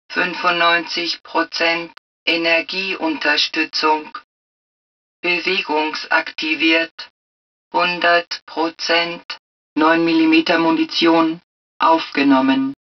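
A woman voicing the calm computer of a protective suit in a video game, making impersonal, clipped, measured announcements. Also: low-pitched, distant mic, highs cut off.

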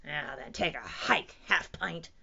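Storybook T-Rex, played by a woman: menacing, hungry, loud and very slow, with drawn-out taunts. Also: low-pitched, expressive, vocal fry, husky, highs cut off, voice pushed down, heavily acted. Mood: dismissive. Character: menacing, hungry, roaring male Tyrannosaurus rex. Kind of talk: children's storybook character voice.

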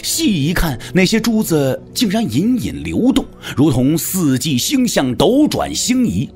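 A man's voice: a little high, huge pitch swings, measured pace, a little mellow, faint music behind. A man giving a dramatic scripted narration of a martial arts story.

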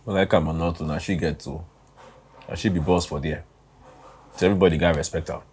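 A man's voice: low-pitched, fairly even intonation, a little fast, darkish tone, slight background noise, natural voice. A Nigerian actor playing a worried young office worker who complains about his boss in film dialogue. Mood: insistent.

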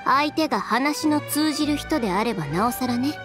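A cool-headed young fashion designer in an anime game. Her voice is high and expressive, direct and self-assured, with faint music underneath. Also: moderate pace. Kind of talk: Japanese anime game voice acting.

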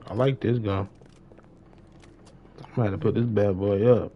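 A man's voice: fairly low pitch, fairly even intonation, moderate pace, highs cut off, a little hiss or hum. A young man chatting casually on a gaming livestream in slangy talk, often repeating his phrases. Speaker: live streamer.

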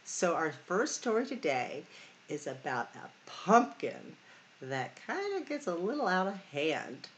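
Animated middle-aged woman reading to children. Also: low voice, a little hiss or hum. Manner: sing-song, dramatic emphasis and drawn-out words. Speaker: library storytime host. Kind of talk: expressive picture-book read-aloud for children.

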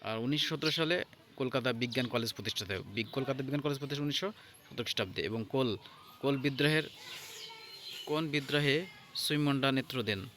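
A man giving an informal lesson as an exam tutor, halting and repeating himself as he checks in with listeners. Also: medium pitch, a bit bright, a little hiss or hum. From India. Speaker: exam tutor presenting answer keys.

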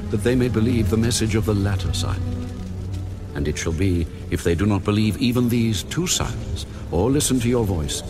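Man playing deep-voiced commanding God over music. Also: close to an everyday voice. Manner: grave, deliberate, authoritative. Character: God, commanding, solemn, all-powerful male deity. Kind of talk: dramatized audio Bible acting. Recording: dramatic music under the voice.

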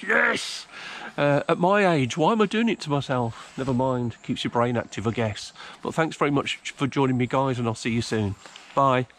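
A man's voice: medium pitch, slight background noise. An older man talking casually to camera in a woodland, rambling with many ums and restarts. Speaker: outdoors vlogger. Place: outdoors in a woodland. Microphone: camera a few feet away.

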